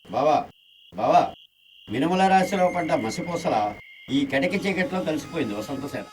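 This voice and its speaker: An actor playing a boastful, foolish, lecherous villain in a radio play, theatrical and insistent. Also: male voice, fairly high pitch, lively intonation, normal speed, a little mellow, recorded from a distance, a little hiss or hum, natural voice, rough recording. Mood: excited, urgent. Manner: theatrical, self-important, insistent. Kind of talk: radio play acting in Telugu.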